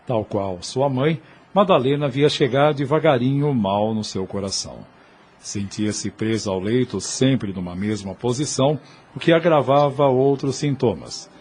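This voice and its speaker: A man's voice: medium pitch, darkish tone, slightly rough. A man with a Brazilian accent giving dramatic, solemn, literary narration of an audio novel.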